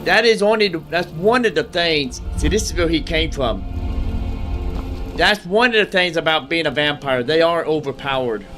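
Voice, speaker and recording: A man giving casual solo gameplay commentary, thinking aloud in a rambling way and often repeating his phrases, with steady noise behind him. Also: a little high, normal speed, soft background music. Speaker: gaming streamer.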